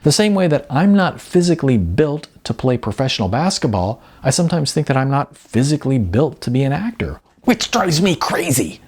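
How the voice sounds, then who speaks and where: A man's voice: medium pitch, big pitch swings, fairly quick, hardly any pauses, darkish tone, breathy edge, voice pushed up. A man voicing an earnest, frustrated woman, an aspiring actress, in an audiobook. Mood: frustrated ranting. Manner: earnest, emphatic. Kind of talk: audiobook character dialogue.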